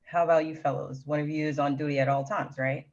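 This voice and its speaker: A man's voice: a little high, flat delivery, fast talker, dull audio, close to an everyday voice. A man playing a persistent, frustrated police detective, questioning plainly in a screenplay read-through. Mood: probing. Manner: probing, plain-spoken questioning. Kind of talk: screenplay table read acting.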